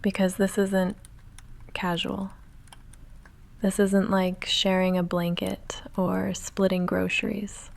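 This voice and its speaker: A woman playing a shy, lovestruck vampire in an intimate ASMR roleplay, speaking softly and breathily with halting, confessional hesitation, often in a whisper. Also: mid-range voice, completely flat delivery, creaky. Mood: nervous, tender. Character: shy lovestruck female vampire roommate, confessing and self-restrained.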